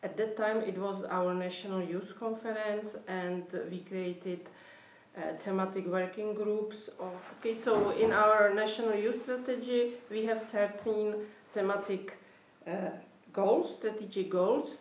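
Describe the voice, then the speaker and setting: A woman's voice: medium pitch, steady tone, a little slow, slight background noise. Czech-accented woman in a roomy, distant recording. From Czech Republic. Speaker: government youth policy official. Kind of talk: explanatory talk for an online course. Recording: roomy, distant, slightly dull microphone.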